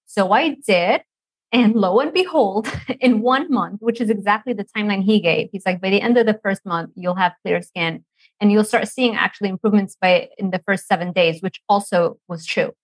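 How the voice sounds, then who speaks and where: Interview guest: woman, 30s, from Lebanon, mid-pitched voice, dry close sound, talks freely with laughs and fillers. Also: moderate pace, no room sound, low-quality recording. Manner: chatty, laughs often, many fillers and restarts. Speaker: nutrition professor, guest. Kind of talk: casual interview storytelling.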